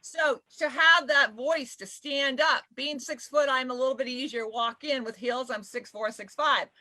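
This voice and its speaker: A bright, forceful woman with a fairly high voice talks on a live panel video call. She is animated and quick to laugh. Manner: animated, laughs often, says she has a deep voice. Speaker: spokeswoman and panelist. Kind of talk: casual panel chat on a live video broadcast.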